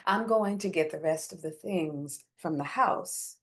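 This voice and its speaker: A woman's voice: fairly low pitch, measured pace. A woman playing a guarded, burdened wife and determined community organizer in a screenplay reading. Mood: withdrawn. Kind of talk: live staged screenplay reading.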